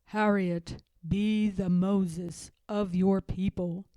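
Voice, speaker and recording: A calm, fatherly God from a storybook, voiced by a woman, speaking very slowly in a lowered, soft-edged tone. The manner is solemn and tender, commanding yet reassuring. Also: smooth, no room sound. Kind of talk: storybook read-aloud.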